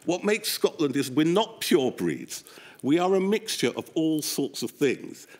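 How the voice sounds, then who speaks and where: A man giving expert testimony at a public inquiry, explaining at length in an animated, discursive way with wry asides. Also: fairly high pitch, a little slow. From England. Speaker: expert witness, academic psychologist. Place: public inquiry hearing room.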